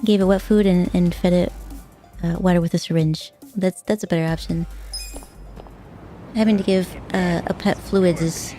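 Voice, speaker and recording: A young woman streaming a game, chatting softly and casually with viewers, often repeating words as she goes. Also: fairly low pitch. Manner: soft-spoken, halting, repeats words. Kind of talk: casual gaming stream chat.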